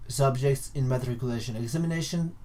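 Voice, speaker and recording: A young Finnish man with a soft, mellow tone and a Finnish accent. He is a native Finnish speaker talking informally as a vlogger, haltingly rephrasing as he explains. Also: mid-range voice. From Finland. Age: young adult. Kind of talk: casual informal explainer to camera.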